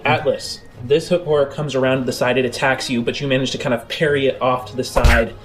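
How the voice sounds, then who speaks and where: A man narrating a tabletop game as game master, describing scenes in halting, improvised phrases. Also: mid-range voice, fairly even intonation. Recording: background music under the voice. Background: music.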